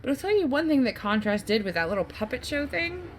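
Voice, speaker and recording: A woman's voice: high voice, sing-song, fast talker, a little hiss or hum. A woman doing casual gameplay commentary as a YouTuber, rambling along in a chatty, enthusiastic way. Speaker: gaming YouTuber. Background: game music.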